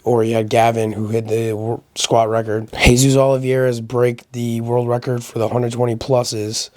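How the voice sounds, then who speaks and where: A man hosting a casual solo video commentary, giving opinions conversationally, his voice a little raspy as he gets over a sickness. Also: mid-range voice, level tone, normal speed, darkish tone, dry recording. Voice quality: slightly raspy. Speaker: host of a powerlifting recap channel.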